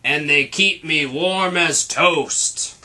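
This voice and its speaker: Man putting on a big hairy storybook Yeti, growling, proud, possessive, unhurried. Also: a little high, pushed and bright, full character voice.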